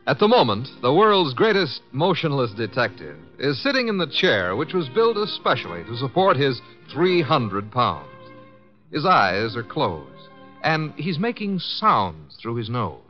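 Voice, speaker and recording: A man's voice: mid-range voice, sing-song, unhurried. An American man announcing and narrating in the scripted style of 1950s radio. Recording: dull vintage radio broadcast, music behind.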